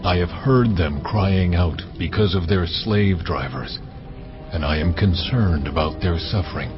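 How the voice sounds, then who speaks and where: An actor playing God as a solemn, commanding divine voice, proclaiming slowly and with weight. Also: male voice, deep voice. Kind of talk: dramatized scripture reading. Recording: muffled, over loud cinematic music.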